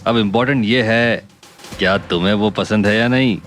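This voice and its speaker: Man acting as direct male buddy, low voice, inquisitive, casual, audio drama, music underneath. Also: moderate pace, a bit bright, close to an everyday voice. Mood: frank. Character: frank, inquisitive male friend and confidant.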